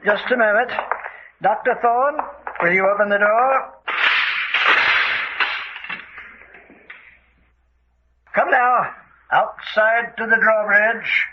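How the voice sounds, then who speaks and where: A man playing a courteous, coldly commanding villain who gives formal, measured orders in a radio drama. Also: sits high. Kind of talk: old-time radio drama acting. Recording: dull, noisy vintage-style radio sound.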